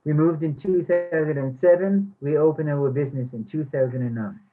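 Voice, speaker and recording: A man reading as a friendly small business owner in a plain, measured way. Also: on the high side, phone-quality sound, lightly acted. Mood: warm. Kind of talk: readers theatre monologue.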